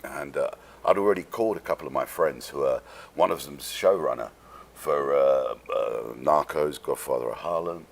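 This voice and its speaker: A man from London with a London English accent tells stories casually as an interview guest, rambling and halting in a streetwise, sweary way. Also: extremely low pitch, creaky. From London, England.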